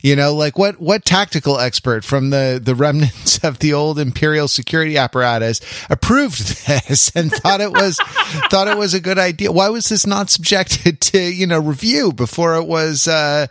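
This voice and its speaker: A man co-hosting a podcast, talking casually and analytically, often halting and restarting his phrases. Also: a little high, animated, a bit bright. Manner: halting, self-correcting, repeats phrases. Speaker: podcast co-host. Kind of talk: casual analytical pop-culture discussion.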